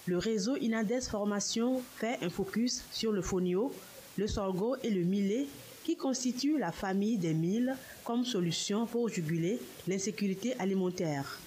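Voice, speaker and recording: A woman's voice: medium pitch, moderate pace, dark tone, a little hiss or hum. A woman reading a scripted TV news report.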